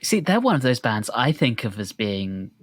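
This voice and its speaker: A man's voice: mid-range voice, sing-song, moderate pace, no room sound, studio quality. A man co-hosting a music podcast, discussing bands in a casual conversational way.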